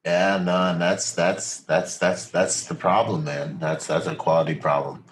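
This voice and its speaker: A low-pitched American man chats as a podcast host. His delivery is casual, slangy and emphatic, full of enthusiasm. There is some faint noise and room ambience behind him. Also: level tone, a little slow. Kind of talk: casual interview chat.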